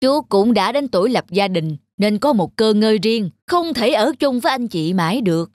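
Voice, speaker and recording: A woman's voice: medium pitch, expressive, normal speed, a little mellow, lightly acted. A woman voicing a selfish, bossy older brother in a folk-tale cartoon, pushy and self-justifying. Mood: smug, insistent. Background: background music.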